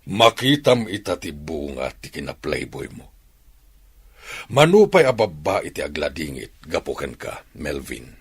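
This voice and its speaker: A man acting as a plain-spoken middle-aged father counseling his son in a radio drama, measured and admonishing. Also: a bass voice, very animated, husky, full character voice. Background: music.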